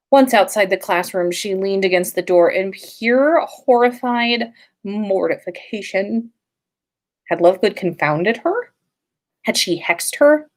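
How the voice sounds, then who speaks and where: A woman hosting a story livestream in an informal, playful and expressive way. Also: mid-range voice. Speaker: podcast host and story reader. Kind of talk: livestream story reading and casual chat.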